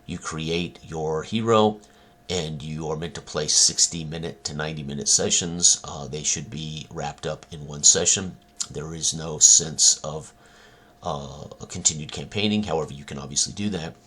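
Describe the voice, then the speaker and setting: A man with an extremely low, husky voice talks informally as a game designer presenting his work. His delivery is halting and rambling, with long hesitations between phrases. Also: pushed and bright. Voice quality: husky, very deep. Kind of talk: informal rambling product talk.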